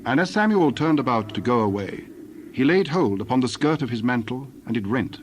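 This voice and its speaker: A man narrating a dramatized scripture reading in a measured, solemn, scripted manner. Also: medium pitch, lively intonation, slight background noise.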